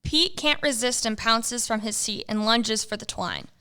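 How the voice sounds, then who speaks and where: A woman narrates a comic adventure in a brisk storybook style. Her voice is bright, smooth and somewhat high.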